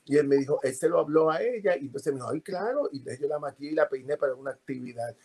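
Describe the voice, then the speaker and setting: A man from Puerto Rico speaks as a podcast guest, with a voice pitched a little high and a Puerto Rican Spanish accent. He tells his stories animatedly and fills his pauses with hesitant fillers. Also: husky. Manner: animated storytelling with many fillers. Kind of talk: casual interview.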